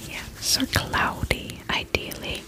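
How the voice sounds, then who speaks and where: Woman doing asmr spa roleplay, breathy whisper, really slow, gentle and reassuring. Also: low-quality recording. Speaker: ASMR performer playing a spa attendant. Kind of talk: ASMR roleplay, personal-attention service talk.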